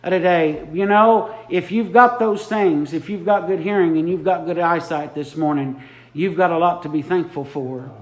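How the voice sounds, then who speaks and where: Fervent preacher, high-pitched man, drawn-out lines, roomy sanctuary. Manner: fervent, repeats lines for emphasis, drawn-out phrases. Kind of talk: impromptu sermon. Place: church sanctuary. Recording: echoey church room.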